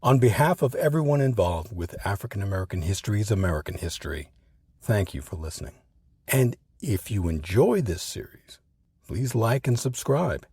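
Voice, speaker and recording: A man hosting and narrating a documentary from a script, with deliberate, emphatic phrasing and a dramatic delivery. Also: on the low side, sing-song, a little mellow, slightly rough.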